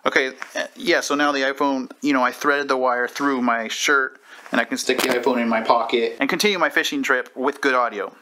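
A man's voice: mid-range voice, fairly even intonation, brisk, sharp tone, slight background noise. A man in his thirties explaining a how-to in an informal, stop-and-start way as he demonstrates. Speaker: YouTube tutorial presenter. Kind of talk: casual explanatory how-to talk. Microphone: clip-on lavalier or camera mic.

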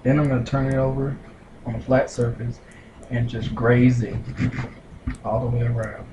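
A young man talks through a recipe at his kitchen counter, unhurried and full of little pauses. His voice bounces around the small room, picked up by a camera mic some distance away, with loud steady noise underneath. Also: mid-range voice, roomy sound, dull audio. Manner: unhurried, explains step by step with many fillers. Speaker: home cook. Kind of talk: casual cooking demonstration. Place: small home kitchen, indoors.

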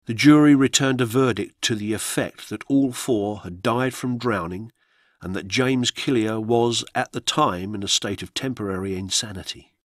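A man narrates a historical account for radio in a solemn, measured way. His voice sits a little low and has a husky grain. The recording is dry and close, as in a studio. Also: dry recording. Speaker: narrator reading true-crime history.

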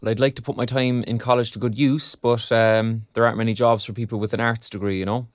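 A young Irish man, a preoccupied graduate played by a male actor in a naturalistic audio drama. He speaks in a medium-pitched voice, hesitant and wry, often putting himself down. The sound is dry and close. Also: level tone, fast, dry recording, lightly acted. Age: young adult. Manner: hesitant, wry, self-deprecating.

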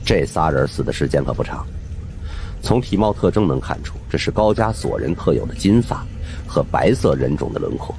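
A man narrating a suspense horror audiobook in an expressive, dramatic storytelling manner. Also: a bass voice, fairly quick, slight background noise.

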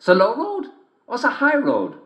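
A man voicing a sly wolf in a squeaky, put-on voice, hesitant and fawning as he talks. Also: huge pitch swings, measured pace, a bit bright, full character voice. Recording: dull, roomy sound.

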